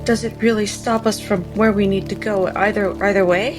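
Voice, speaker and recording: A woman with a medium-pitched voice speaks casually in character during a tabletop game. Music plays behind her. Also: fairly even intonation, a little fast.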